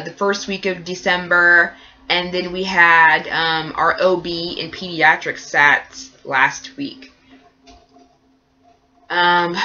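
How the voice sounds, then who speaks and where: A nursing student chatting through a casual vlog update, sounding nasal and congested from a cold and rambling along with lots of fillers. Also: female voice, fairly low pitch, bright, forceful tone, lo-fi sound.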